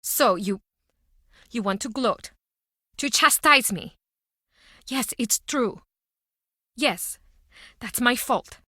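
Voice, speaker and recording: Proud townswoman, guarded, wounded, highish bright voice, video game drama, dry studio sound. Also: animated, takes it slowly, pauses often, dry recording, heavily acted. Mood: guarded, regretful. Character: guarded, proud townswoman, heir to an old ship, wounded by a breakup.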